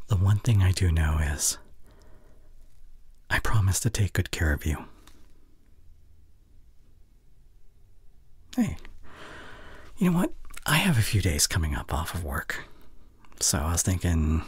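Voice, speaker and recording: A man acts out a young boyfriend in an intimate ASMR audio drama, anxious yet tender. His low voice is very breathy and husky, often sinking toward a whisper. He sounds gentle and reassuring, with lively shifts of pitch, recorded close and dry. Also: no room sound, full character voice.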